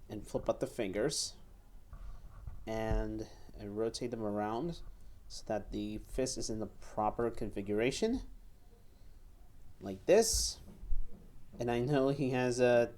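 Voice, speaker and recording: A young man giving step-by-step hands-on instructions as a toy reviewer, haltingly, often repeating and correcting himself. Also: mid-range voice, darkish tone. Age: young adult. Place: small room at home, indoors.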